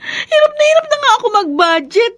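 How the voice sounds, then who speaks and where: A woman's voice: extremely high pitch, sing-song, fairly quick, a bit bright, heavily acted. An actress playing a long-suffering, pleading young working mother in a radio drama, with a Filipino accent. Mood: exasperated.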